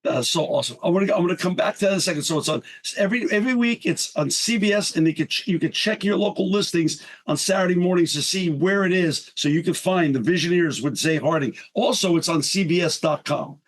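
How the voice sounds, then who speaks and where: A man hosting a podcast interview, upbeat and quick, sometimes stumbling over his words. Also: a little high. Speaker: podcast host. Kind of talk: podcast interview and read sponsor spots.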